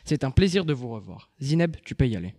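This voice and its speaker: A man's voice: mid-range voice, sing-song, quick pace, mellow, no echo. A teenage male student hosting a radio show, politely thanking guests and introducing segments. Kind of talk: radio presenting.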